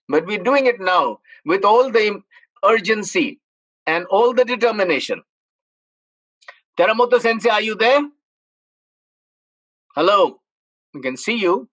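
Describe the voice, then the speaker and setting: A man's voice: high voice, fairly bright tone, low-quality recording. A man moderating an online panel discussion over a video call, speaking emphatically and repeating his points.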